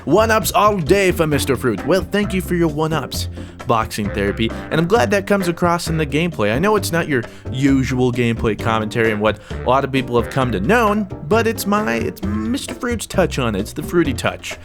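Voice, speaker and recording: A man hosting a casual vlog, upbeat and energetic as he reads viewer comments. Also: on the high side, brisk, over music. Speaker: YouTube gaming channel host.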